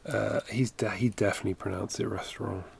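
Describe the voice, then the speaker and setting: Gravelly man rambling while drawing. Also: fairly low pitch, fairly even intonation, quick pace. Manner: rambling, self-correcting, chatty asides. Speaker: animation streamer. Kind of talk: casual livestream chat while drawing. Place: home studio, indoors. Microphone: headset.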